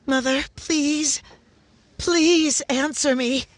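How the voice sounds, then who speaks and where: A woman's voice: high-pitched, dry recording. A woman playing a young bride trapped alive, pleading in anguish in a 1930s-style radio horror drama. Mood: desperate. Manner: slow, anguished, tearful pleading.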